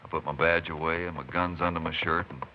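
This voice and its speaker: A man's voice: low-pitched, flat delivery, quick pace, close to an everyday voice. An actor playing a terse, determined Texas Ranger lawman in a 1950s radio western. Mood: grim, determined. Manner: terse, matter-of-fact. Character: steady, determined Texas Ranger tracking a killer. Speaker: lead actor and narrator. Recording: noisy muffled 1950s radio recording.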